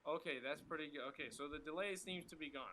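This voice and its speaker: A young man speaking informally and haltingly as he hosts and narrates an online group script read. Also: a little high, bright, forceful tone, low-quality recording. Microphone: computer mic with headphones on a group call.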